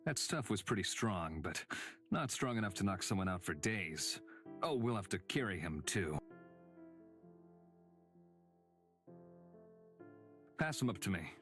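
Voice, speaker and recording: Low, steady, loyal fighter. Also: male voice, normal speed, faint music behind. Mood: matter-of-fact. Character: loyal, battle-hardened male companion, once hot-headed. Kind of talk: visual novel game voice acting.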